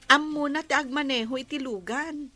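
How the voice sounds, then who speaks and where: A woman's voice: high-pitched, moderate pace, pushed and bright, soft background music. An actress playing a hardworking poor young village girl in a radio comedy drama, speaking earnestly.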